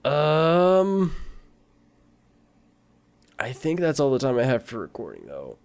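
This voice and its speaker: A male gaming host chatting casually through a let's play. His delivery is informal and playful, with pitch that swings very widely. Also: wildly swooping pitch, takes it slowly, a little mellow, clean tone. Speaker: host of a gaming video channel.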